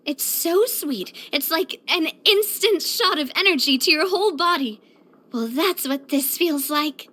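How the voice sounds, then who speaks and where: A young woman voicing a cheerful, elegant young lady leader in a video game, earnest, chatty and expressive. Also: very high voice, exaggerated voice. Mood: delighted. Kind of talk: video game character voice lines.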